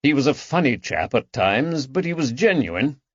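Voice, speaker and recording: A man's voice: medium pitch, sing-song, normal speed, no echo, pitched above the performer's own voice. A man voicing an awkward, earnest detective husband. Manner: plain and a little awkward. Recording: slightly dull dry recording.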